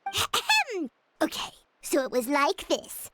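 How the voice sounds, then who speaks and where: A tiny floating companion creature in a game cutscene, with a very high, squeaky voice that bounces all over the place. She is excitable and boastful and refers to herself in the third person. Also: takes it slowly, very noisy, exaggerated voice. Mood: eager, boastful.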